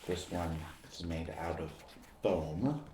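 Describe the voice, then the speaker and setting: A man performing an ASMR roleplay as a friendly amateur basketball player, speaking in short, deliberate, halting phrases as he shows objects. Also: low voice. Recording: roomy echo, clear background noise.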